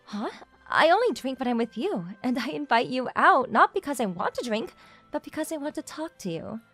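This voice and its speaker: A woman's voice: high voice, sing-song, brisk, a bit bright, faint music behind, exaggerated voice. A young woman playing a confident, pushy female CEO who teases her junior in a manga dub romance. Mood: playful, fond. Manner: straightforward, teasing, self-assured.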